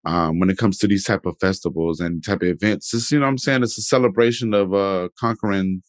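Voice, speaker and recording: A man with a fairly low voice hosts a podcast interview. His talk is casual and colloquial, with loose, informal phrasing. The recording sounds dry and free of room echo. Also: brisk. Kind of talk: casual chat.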